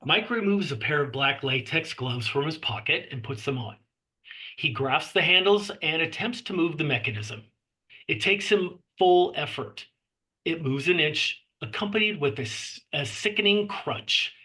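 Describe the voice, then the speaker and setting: Bright-voiced man reading stage directions. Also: a little high, animated, normal speed. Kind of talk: scripted narration at a table read.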